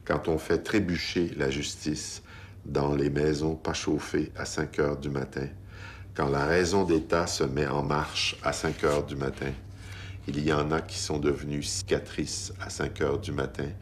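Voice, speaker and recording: A man reciting a poem with measured, insistent phrasing. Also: extremely low pitch, a little hiss or hum. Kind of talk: spoken poetry recital.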